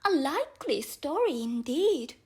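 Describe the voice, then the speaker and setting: Woman voicing a shrill, indignant mother pigeon. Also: very animated, measured pace, a little mellow. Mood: contemptuous. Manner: shrill, scolding, exclamatory. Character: fretful, indignant, contemptuous female pigeon guarding her eggs. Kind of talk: storybook character voice.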